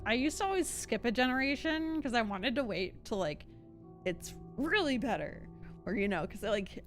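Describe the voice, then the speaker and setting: A woman streaming a game and chatting casually as she plays. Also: mid-range voice. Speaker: live streamer.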